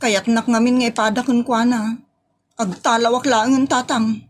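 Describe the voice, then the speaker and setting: A woman's voice: fairly high pitch, level tone, moderate pace, natural voice. A woman with an Ilocos accent from the northern Philippines playing an exasperated, pleading niece of thirty-one in a comedy audio drama. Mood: whiny, complaining. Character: exasperated 31-year-old single niece, pleading and whiny.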